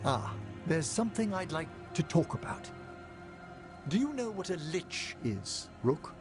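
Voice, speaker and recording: Game necromancer scholar: older, gentlemanly, anxious thinker, theatrical diction, animated pitch, score underneath. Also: male voice, measured pace. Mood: earnest, reflective. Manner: formal, erudite, theatrical phrasing. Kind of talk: video game cutscene acting. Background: music.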